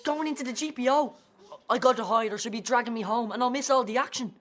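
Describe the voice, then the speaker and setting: A woman's voice: fairly high pitch, quick pace, a bit bright, lightly acted. A woman playing a plucky, eager thirteen-year-old Dublin boy in a radio drama, earnest and colloquial. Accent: Dublin Irish.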